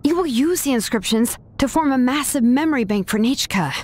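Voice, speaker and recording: A woman's voice: sits high, animated, a little fast. A woman playing a shrewd young craftswoman in a video game, composed, analytical and skeptical. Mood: knowing, probing.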